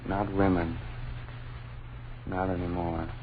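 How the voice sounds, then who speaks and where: An actor playing a horrified, bewildered young husband in 1940s radio drama style, speaking in halting, intense phrases with dramatic pauses. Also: male voice, low-pitched, flat delivery. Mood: grim awe. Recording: noisy, narrow-band old radio recording.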